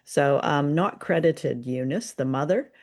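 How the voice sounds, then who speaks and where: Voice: mid-range voice, a little slow, a little mellow. A podcast host talking casually and with enthusiasm about old-time radio drama, in a conversational way.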